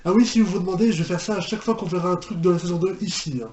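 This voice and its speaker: Higher-voiced Frenchman rambling in an echoey room. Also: rapid-fire, darkish tone. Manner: hesitant, rambling, repeats phrases. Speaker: reaction video host. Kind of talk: casual spoken reaction commentary. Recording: echoey room with background noise.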